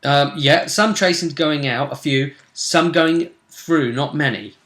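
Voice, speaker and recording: A man co-hosting a casual recap of a tabletop role-playing game, telling it haltingly with dramatic emphasis. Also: a little high, fairly bright tone.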